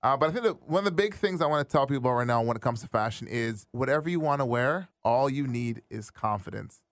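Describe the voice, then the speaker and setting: A man's voice: medium pitch, lively intonation, quick pace, no echo, clean studio sound. A young man hosting a podcast, teasing his guest in a joking, casual way. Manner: jokey, teasing, laughs a lot. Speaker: podcast host.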